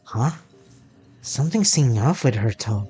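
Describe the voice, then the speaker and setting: A man streaming a story game, reading dialogue aloud and adding halting, muttered asides. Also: mid-range voice, expressive, a little mellow. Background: game music.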